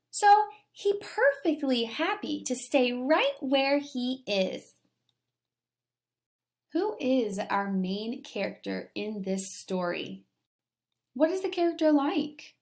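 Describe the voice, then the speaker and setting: A woman teacher giving a read-aloud lesson to young children, enunciating deliberately and explaining things simply as she addresses them directly. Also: a little high.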